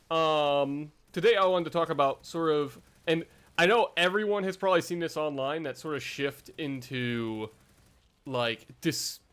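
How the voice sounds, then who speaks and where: A man's voice: fairly high pitch, a bit bright. A young man vlogging in a casual, rambling way, full of fillers and self-deprecating asides.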